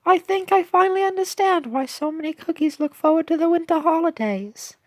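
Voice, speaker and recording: A woman voicing a sweet, excitable young cookie child who has been poor and lonely, earnest and gushing in a story read aloud. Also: very high-pitched, brisk, no echo. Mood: earnest, wistful.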